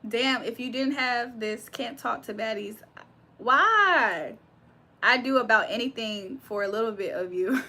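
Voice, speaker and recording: Young woman on a livestream, bright voice sitting a bit high, reads chat out loud and laughs a lot. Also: unhurried. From Virginia. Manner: laughs often, reads comments aloud then reacts. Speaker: livestream host reading chat. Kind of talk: casual live chat.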